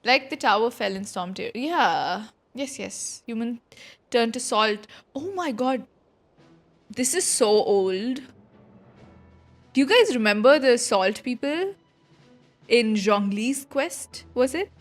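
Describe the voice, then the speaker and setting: A woman's voice: fairly high pitch, lively intonation, slow, pauses often, faint music behind. A woman streamer giving casual live reaction commentary on a game, musing aloud informally.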